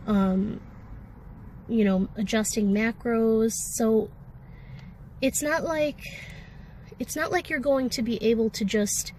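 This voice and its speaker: A woman's voice: on the high side, mellow, slight background noise, low-quality recording. A woman with a sore throat and a congested voice, talking candidly and ramblingly in a personal vlog, with many fillers. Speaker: vlogger. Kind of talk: casual personal vlog talk.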